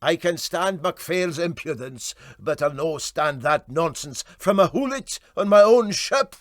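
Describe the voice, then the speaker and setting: A man voicing a vain, sentimental and self-important steamboat skipper with a Scottish Highland accent, for a storybook. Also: animated, unhurried, no room sound, studio quality. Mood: outraged. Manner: ponderous, self-important, hesitant.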